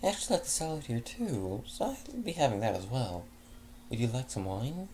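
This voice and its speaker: A man reading a fanfic aloud, voicing a gracious, generous female pony with a higher voice. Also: very animated, fairly quick, warm tone, slight background noise. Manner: gracious, polite.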